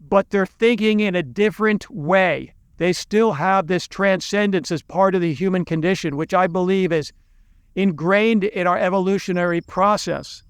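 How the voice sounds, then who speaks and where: High-voiced, clear American man lecturing. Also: moderate pace, no echo, clean studio sound. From United States. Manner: loose, rambling, with restarts and asides. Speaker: college teacher and video lecturer. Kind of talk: unscripted talk to camera.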